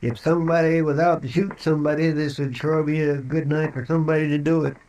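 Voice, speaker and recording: A man's voice: a little high, level tone, quick pace, a little mellow, lo-fi sound, close to an everyday voice. Man playing a fretful, folksy frontier deputy. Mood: nervous, pleading. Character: loyal, anxious, folksy deputy of a frontier marshal. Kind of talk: old-time radio western acting. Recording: noisy vintage radio drama recording.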